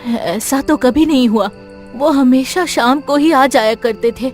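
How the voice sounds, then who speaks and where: A woman's voice: high voice, measured pace. A woman playing an anxious, devoted village wife and mother in a cartoon, tender and worried. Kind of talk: Hindi cartoon voice acting. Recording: prominent music bed behind.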